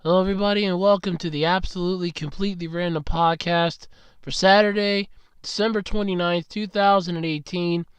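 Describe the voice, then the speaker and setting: A sick man is losing his voice as he hosts his podcast, speaking right up against the mic in a dry, close sound. His voice sits fairly high yet comes through smooth and clear. He talks frankly, grumbles, and drops casual swears. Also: steady tone, fairly quick, no echo. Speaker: podcast host. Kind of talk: casual solo podcast talk. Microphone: very close mic, about two inches away.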